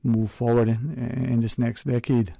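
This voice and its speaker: A man hosting a radio talk show in a studio, speaking in a hesitant, unhurried way with many fillers. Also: mid-range voice, level tone, gravelly, mellow, no echo.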